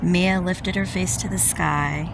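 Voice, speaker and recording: An informal woman reads fiction aloud like an amateur audiobook narrator, slipping easily into chatty asides. Her voice sits low and has a gravelly fry to it. There is clear ambient noise behind her, like vehicles. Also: fairly even intonation, slow. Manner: informal, interrupts own reading to comment. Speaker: amateur audiobook reader and vlogger. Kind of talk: reading a romance novel aloud with casual personal asides.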